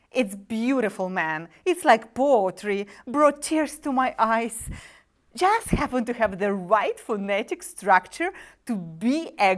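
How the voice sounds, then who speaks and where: A woman playing a wisecracking demon sub-agent who deals in souls with breezy, mocking sales patter in a dramatic script reading. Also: fairly high pitch. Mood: slick, mocking.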